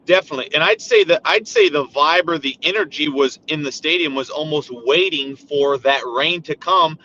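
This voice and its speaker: A hoarse man calls in and talks in an eager, chatty way, his voice bright at the edges. The line sounds muffled and a little lo-fi, with a faint rumble behind it. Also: normal speed. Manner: enthusiastic, chatty. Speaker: caller.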